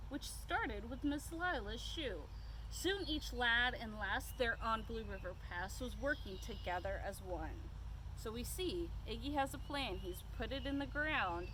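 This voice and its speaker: A woman's voice: on the high side, bright, forceful tone, poor audio. A woman reading a children's picture book aloud outdoors, expressively, with asides to young listeners. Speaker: storytime reader. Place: outdoors by a playhouse. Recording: clear outdoor background noise.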